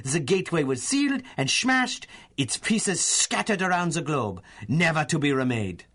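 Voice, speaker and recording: An actor playing a pompous, fanatical German professor villain with a German accent in a 1930s-style radio adventure serial, gloating theatrically with drawn-out emphasis. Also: male voice, on the high side, fairly bright tone, slightly rough, full character voice. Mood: menacing, boastful.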